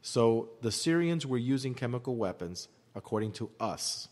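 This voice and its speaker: A man's voice: medium pitch, lively intonation, normal speed, darkish tone. A man explaining his opinions at length in a discussion, emphatically repeating phrases for effect. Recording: long hall-like reverb.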